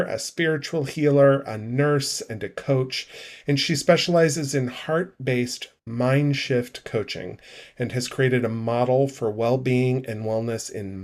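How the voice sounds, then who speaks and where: A man hosting a live online coaching show, reading an upbeat intro and then asking questions with quick affirmations. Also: medium pitch. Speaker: show host.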